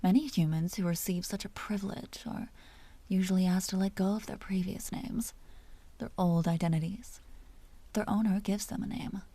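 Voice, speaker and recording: A woman playing a commanding, teasing vampire duchess with a tender side, speaking softly and often in whispers in an intimate audio roleplay. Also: mid-range voice, steady tone, moderate pace, no room sound, lightly acted. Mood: coaxing, teasing. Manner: soft, breathy, often dropping into whispers; teasing, possessive, imperious.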